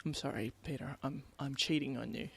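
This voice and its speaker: A woman's voice: a bass voice, fairly quick, vocal fry. A woman co-hosting a podcast in casual conversation, telling things in a rambling way. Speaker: podcast co-host. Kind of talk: casual chat.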